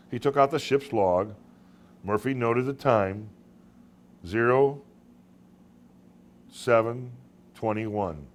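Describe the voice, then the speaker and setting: A man narrating literary short stories as their author, in a dramatic audiobook style with deliberate phrasing and emphatic pauses. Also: mid-range voice, slight background noise.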